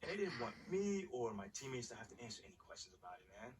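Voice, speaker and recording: A man's voice: mid-range voice, big pitch swings, very fast, distant mic, a little hiss or hum, close to an everyday voice. A man playing a confident young American pro basketball player in a video game, talking in casual slang. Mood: earnest, candid. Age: young adult. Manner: slangy, conversational.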